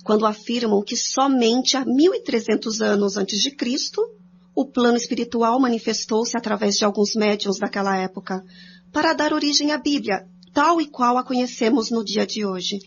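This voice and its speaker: A woman's voice: on the high side, normal speed. A woman with a Brazilian accent giving scripted narration and commentary in a radio drama. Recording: dull lo-fi audio with hum and noise.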